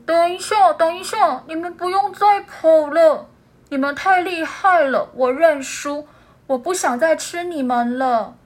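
Woman putting on a loud blustering big seal. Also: unhurried, hardly any pauses. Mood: pleading. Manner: loud, booming exclamations.

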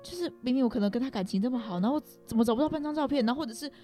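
Fast-talking, rambling woman guest. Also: sits high. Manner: rambling, rephrases as she goes. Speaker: podcast guest. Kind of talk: casual chat, personal reflection. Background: background music.

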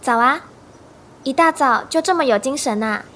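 A woman's voice: sits high, normal speed, sharp tone. A woman playing a good-natured young woman in an audio drama, casual and lightly joking. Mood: easygoing.

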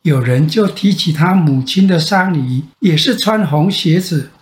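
An older man with a Taiwanese Mandarin accent tells a children's bedtime story in a gentle, expressive way with drawn-out phrase endings. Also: on the high side. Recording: echoey room sound.

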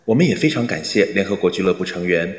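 A man's voice: low-pitched, expressive, quick pace. A man playing a polite, formal cabin chief making announcements in a radio drama, with echoey reverb.

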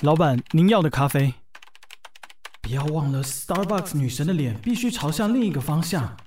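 A man's voice: fairly high pitch, expressive, normal speed, a little mellow, slight background noise, close to an everyday voice. An actor voicing an anxious, fussy young male office intern who reassures himself, in an audio drama. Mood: eager, nervous. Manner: anxious, precise, self-reassuring. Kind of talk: audio drama monologue and dialogue.

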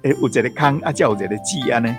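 A man's voice: mid-range voice, animated, rapid-fire, over music. A Taiwanese-accented man, a local culture expert, explaining informally in a folksy, repetitive way. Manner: folksy, repetitive, with frequent particles.

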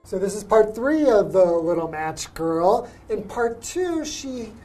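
A woman's voice: mid-range voice, big pitch swings, takes it slowly, over music, poor audio. A young woman hosting a radio English lesson, patiently explaining words with everyday examples. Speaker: English teacher, host.

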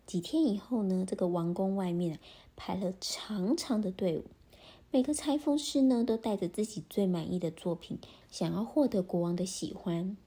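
Breathy woman telling kids a story. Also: medium pitch, normal speed. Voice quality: breathy, often half-whispered. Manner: gentle, animated, addresses children. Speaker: storyteller for children. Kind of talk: children's story narration.

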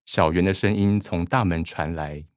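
A man's voice: low voice, normal speed, dry recording, studio quality. A man reading scripted narration and stage directions for a radio drama.

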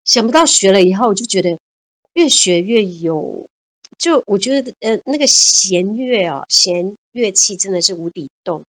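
A woman's voice: medium pitch, animated, normal speed, no echo. A woman in her fifties chatting cheerfully as an interview guest, laughing often and repeating words. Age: 50s. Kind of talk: casual interview chat.

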